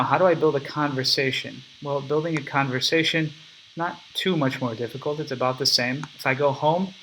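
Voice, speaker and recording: A man's voice: mid-range voice, sharp tone, a little hiss or hum, low-quality recording. A young man casually explaining his app step by step in a recorded screen walkthrough at his computer. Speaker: app developer demonstrating his product.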